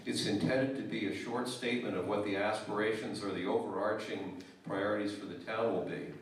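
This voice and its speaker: A town selectman giving measured remarks at a public meeting, with hesitant restarts. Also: fairly low pitch, fairly even intonation. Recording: very echoey hall, distant mic.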